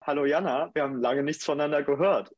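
A man's voice: on the high side, quick pace, no room sound, highs cut off. A young man co-hosting a podcast in a friendly, easygoing way. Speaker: podcast co-host. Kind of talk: casual podcast chat.

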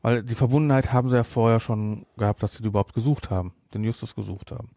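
A man's voice: medium pitch, steady tone, fast, gravelly, warm tone, dry recording. Casual male podcast co-host, close dry sound. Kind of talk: casual chat reviewing a film.

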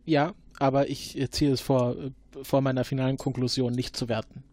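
A man playing a formal agent interrogating a witness in an improvised audio drama, halting and full of fillers. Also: mid-range voice, little pitch movement, darkish tone, lightly acted. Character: formal male time-travel agent questioning a witness.

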